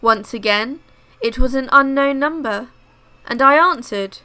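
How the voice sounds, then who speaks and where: A woman reading first-person scary stories aloud as scripted narration, in a measured storytelling manner. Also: sits high, bright, forceful tone, clean tone.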